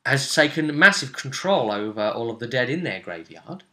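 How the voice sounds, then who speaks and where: Bright-voiced man telling a game story. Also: medium pitch, very animated. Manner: halting, repeats phrases as the story builds. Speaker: game master recapping a tabletop session. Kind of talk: casual spoken storytelling.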